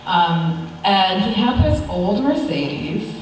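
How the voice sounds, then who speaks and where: A woman's voice: mid-range voice, very animated, slow pace. A young adult American woman giving an informal, conversational eulogy with personal stories, recorded from a distance in a church. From United States. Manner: conversational, chuckling through anecdotes. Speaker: niece giving a funeral tribute. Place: large church sanctuary, at a pulpit. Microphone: far camera. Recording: very echoey church hall, distant mic, faint noise.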